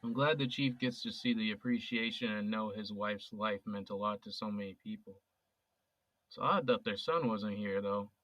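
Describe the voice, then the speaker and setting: A man's voice: fairly low pitch, normal speed, a bit bright, dry recording, lightly acted. An actor playing a sincere young male police officer who talks casually and earnestly with his best friend. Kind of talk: audio drama acting. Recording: dull, dry, lo-fi recording.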